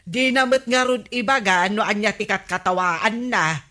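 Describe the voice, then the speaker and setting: A woman's voice: medium pitch, normal speed, pushed and bright, close to an everyday voice. An actress playing an easily irritated, complaining village woman in an Ilocano radio comedy drama. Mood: grumbling.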